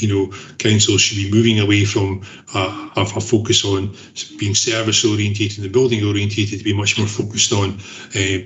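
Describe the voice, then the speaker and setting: A man speaking as an official in a formal meeting, in a halting way with repeated words. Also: on the low side, fairly bright tone. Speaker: council officer presenting to committee. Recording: roomy, echoing meeting call.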